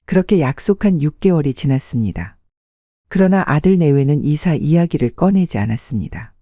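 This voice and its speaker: A man narrates a family story like an audiobook, measured and scripted. His voice sits a little high with a very mellow, dark tone, recorded dry and close. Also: animated, dry recording, lo-fi sound.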